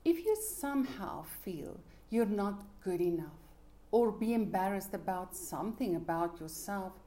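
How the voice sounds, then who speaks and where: A middle-aged woman whose first language is Afrikaans, giving an earnest, measured motivational talk about her own life. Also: mid-range voice, dark tone. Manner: earnest, measured, with small restarts.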